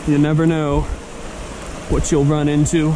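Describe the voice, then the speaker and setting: A man's voice: fairly high pitch, completely flat delivery, a little slow. A young man vlogging outdoors on a forest riverbank, talking eagerly to a handheld camera about the rocks he finds. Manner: enthusiastic, chatty, talks to camera and his dog. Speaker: vlogger, rockhound. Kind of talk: casual outdoor vlog narration. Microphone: action camera held at arm's length. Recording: noisy outdoor camera audio.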